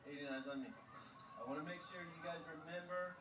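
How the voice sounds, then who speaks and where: A man's voice: a little high, fairly quick, far from the mic, background noise. A man coaching a youth baseball team, firm and clipped as he quizzes the kids. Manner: drill-sergeant-like pep talk. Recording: roomy video played back from afar.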